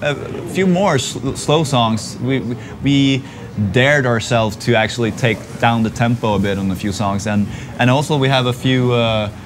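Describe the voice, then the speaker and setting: A man from northern Sweden with a Swedish accent, a band member calmly explaining in an interview. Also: mid-range voice, moderate pace, background noise. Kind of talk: casual interview answers.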